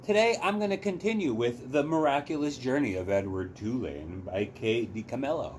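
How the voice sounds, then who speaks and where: Expressive male storyteller with animated pitch. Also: normal speed, slight background noise. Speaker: library storytime host reading aloud. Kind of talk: expressive children's book read-aloud.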